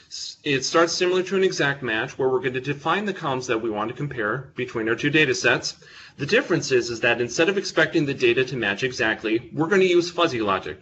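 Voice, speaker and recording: A man's voice: mid-range voice, bright, forceful tone, highs cut off, slight background noise. A young man presenting a technical talk to a live audience in a meeting room, speaking close to his microphone with a big voice and casual, self-deprecating humor. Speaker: presenter at a user group meeting.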